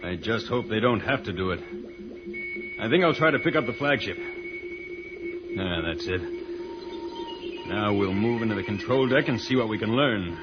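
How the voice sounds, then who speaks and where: An actor playing an earnest, level-headed space hero, decisive and explanatory, in an old-time radio serial. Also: male voice, on the low side, expressive, moderate pace, long pauses, natural voice. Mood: focused, calm. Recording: dull old radio recording with music.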